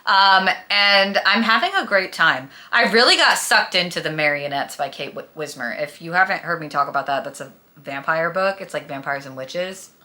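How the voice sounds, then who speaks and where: Young girl doing a chatty book vlog, super bright voice, gushes and stresses stuff, casual. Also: fairly low pitch, expressive, normal speed, hardly any pauses. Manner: gushing, emphatic. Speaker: book vlogger. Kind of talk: casual chat about books to camera.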